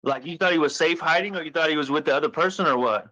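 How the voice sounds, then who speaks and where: Bright-voiced man, halting and coaxing. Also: fairly high pitch. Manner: halting, repeats and rephrases, coaxing. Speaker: caller questioning the other speaker. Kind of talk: tense private phone conversation, questioning. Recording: recorded phone call. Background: recorded phone call.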